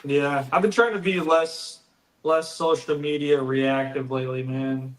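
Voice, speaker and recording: A man's voice: a little high, steady tone, measured pace, reverberant, poor audio. A man co-hosting a live stream in casual chat, easygoing and rambling, saying "dude" a lot and sounding tired.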